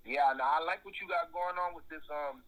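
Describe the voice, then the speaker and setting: A man's voice: fairly high pitch, fairly quick, a bit bright, low-quality recording. A young man from South Florida, a music executive, chatting casually in an interview and rambling with lots of fillers. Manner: laid-back, rambling with many fillers.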